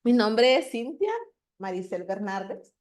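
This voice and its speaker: Slow, deliberate woman presenting. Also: mid-range voice, expressive. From Honduras. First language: Garifuna. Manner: slow and deliberate, teacher-like with call and response. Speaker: guest speaker, language promoter. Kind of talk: presentation to an audience.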